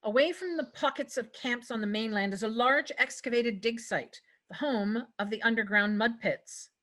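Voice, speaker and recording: Bright, high-voiced woman narrator. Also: normal speed. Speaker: narrator reading stage directions. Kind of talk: scripted screenplay narration.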